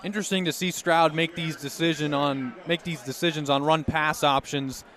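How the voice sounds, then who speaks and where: High-voiced male football color commentator. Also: fairly even intonation, moderate pace, a bit bright. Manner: conversational, explanatory. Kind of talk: live sports analysis. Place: outdoor stadium broadcast position. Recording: faint outdoor stadium noise.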